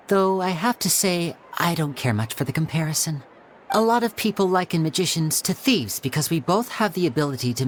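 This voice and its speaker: A man's voice: high voice, a bit bright. An actor playing a suave, confident young male stage magician with a polished, showman-like delivery. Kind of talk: video game dialogue.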